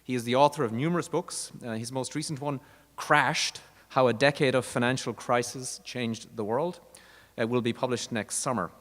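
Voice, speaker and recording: A middle-aged man with an Irish accent, a university dean giving formal introductory remarks, measured and dotted with fillers. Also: medium pitch, a bit bright. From Ireland. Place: university lecture hall. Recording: long reverb of a lecture hall.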